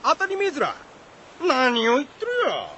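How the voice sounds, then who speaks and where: Rough old-time fisherman in a radio drama, high voice, big swings, izu country dialect. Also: wildly swooping pitch, normal speed, sharp tone, heavily acted, low-quality recording. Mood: scolding. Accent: rural Shizuoka, Izu dialect. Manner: rough, exclamatory rustic speech. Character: excitable, blunt fisherman of old Atami. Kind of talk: radio drama acting of a folktale. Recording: faint background noise.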